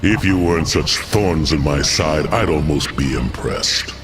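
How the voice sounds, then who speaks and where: An actor voicing a boastful, menacing demon warlord in a video game, taunting and grandiose. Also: male voice, rumbling low, expressive, a little slow, heavily acted. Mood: menacing boasting. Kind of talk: theatrical video game villain acting. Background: music.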